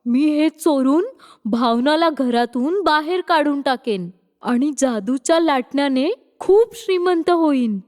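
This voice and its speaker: A woman's voice: high voice, animated, unhurried, darkish tone, long reverb tail. A woman voicing a greedy, scheming woman villain in a cartoon story, covetous and plotting.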